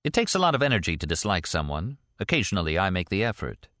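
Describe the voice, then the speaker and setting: Man playing a low-voiced, wry ex-CIA detective. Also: big pitch swings, fast talker, fairly bright tone, dry recording. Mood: sardonic. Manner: dry, reasoning, sardonic. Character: wry, sceptical male ex-CIA private detective.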